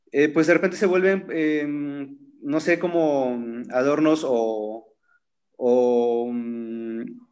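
A man in his thirties, a native Zapotec speaker, talking thoughtfully in a seminar over a laptop video call, with many fillers and restarts. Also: medium pitch. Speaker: seminar participant. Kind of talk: reflective conversational talk. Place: room at home with framed pictures. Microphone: laptop webcam on a video call.

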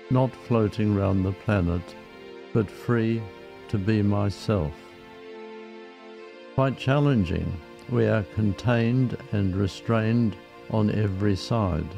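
Slow, low-voiced man guiding a meditation. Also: vocal fry. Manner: measured, reflective, with frequent pauses. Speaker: meditation guide. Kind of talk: guided meditation talk.

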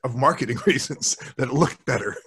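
An older man as a guest co-host, explaining things in a measured, precise way in casual discussion. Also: medium pitch, lively intonation, a bit bright, slightly rough. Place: home office, indoors, video call. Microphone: large desktop microphone.

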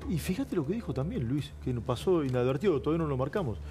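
A man's voice: on the high side, very animated, fast, warm tone, soft background music. A middle-aged man with an Argentine accent chats on a TV political panel, repeating key phrases for emphasis. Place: TV news studio.

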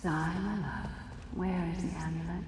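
A woman's voice: lowish voice, steady tone, takes it slowly, noisy background. A woman playing a seductive female villain in a fantasy video game, coaxing her captive lover with intimate menace.

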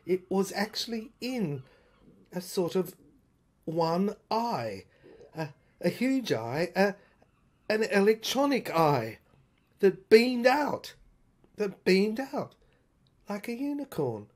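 A woman narrating a dramatic diary monologue in a halting, repetitive, theatrical way. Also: mid-range voice, big pitch swings, a little mellow. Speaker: narrator of an audio screenplay. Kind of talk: dramatic first-person monologue, diary reminiscence.

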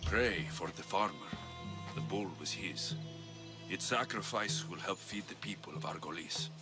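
An actor playing a tough, blunt, sardonic mercenary of ancient Greece in a video game. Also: male voice, low-pitched, a little slow, over loud music. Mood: sober. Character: tough, wry male Spartan mercenary, blunt and menacing. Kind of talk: video game character acting.

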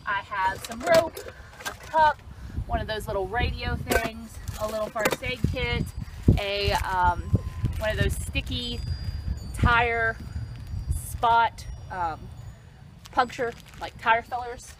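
A woman's voice: fairly high pitch, slow, heavy background noise. Chatty young woman by her car. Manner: chatty, many ums and restarts, laughs lightly. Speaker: vlogger. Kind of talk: casual show-and-tell vlog. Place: outdoors by an open car trunk on a sunny street. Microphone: camera close in front.

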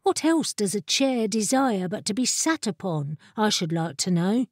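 A woman's voice: medium pitch, sing-song, normal speed, husky, dry recording, clean studio sound. A woman voicing a headstrong, inquisitive female fire creature in a storybook, pert and formally articulate. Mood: indignant.

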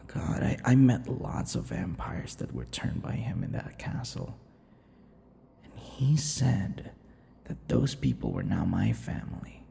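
Man acting a slow, gravelly brooding vampire. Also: deep voice, big pitch swings, a little hiss or hum, heavily acted. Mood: wistful, bitter. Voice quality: breathy, gravelly, often dropping to a whisper. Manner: slow, halting, dramatic pauses and dark chuckles. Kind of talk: intimate ASMR roleplay monologue. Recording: long reverberant echo.

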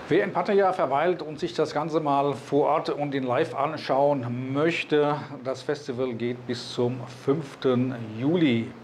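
A man's voice: medium pitch, steady tone, slow pace, hardly any pauses, darkish tone, slight background noise. A man, presenting and narrating a vlog. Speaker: vlogger.

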